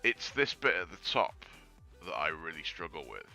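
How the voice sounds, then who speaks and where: A man with a very low, gravelly voice, roughened further by a sore throat. His tone stays bright and forward as he thinks aloud, pausing on ums while he works through a casual screen-recorded tutorial. Music plays faintly behind him. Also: sing-song. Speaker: 3D modeller giving a tutorial.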